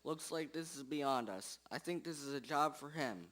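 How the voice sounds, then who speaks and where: A man's voice: fairly high pitch, expressive, moderate pace, fairly bright tone. A man playing an earnest, formal policeman in a stilted amateur radio drama style. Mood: earnest concern.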